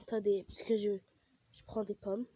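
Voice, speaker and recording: A woman's voice: mid-range voice, level tone, moderate pace, mellow, breathy edge, dry recording. Chatty young woman with a cold. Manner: chatty and rambling, many fillers. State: has a cold, coughing. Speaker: gaming vlogger. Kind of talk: casual game commentary.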